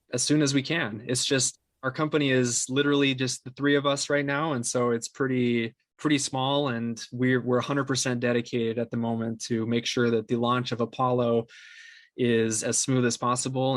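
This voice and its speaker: A man's voice: mid-range voice, dry recording, studio quality. A man giving earnest, discursive answers as the guest in a conversational interview about his creative work. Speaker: interview guest, podcast creator and company co-founder.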